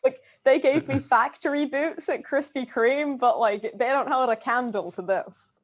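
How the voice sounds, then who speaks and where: A woman's voice: high voice, a little slow. A woman chatting casually with gushing enthusiasm. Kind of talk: casual chat.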